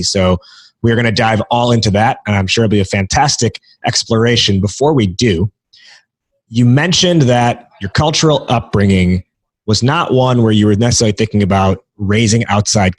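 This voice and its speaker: Husky-voiced male podcast host. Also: lowish voice, no room sound. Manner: measured, articulate interviewer. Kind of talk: podcast interview.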